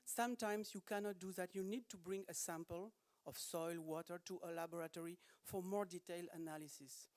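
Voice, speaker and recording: A man's voice: high-pitched, steady tone, a little fast, hardly any pauses. A man with a French accent, a panel expert giving an explanatory answer in English as a non-native speaker. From France. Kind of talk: explanatory answer to an audience question. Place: indoor conference.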